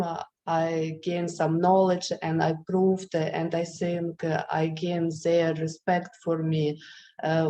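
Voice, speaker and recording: A woman from Ukraine with a Ukrainian accent speaks slowly as a student panelist, choosing her non-native phrasing carefully and hesitantly. Her voice is fairly low and even, heard over a video call with faint background noise. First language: not English. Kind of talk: semi-formal personal account.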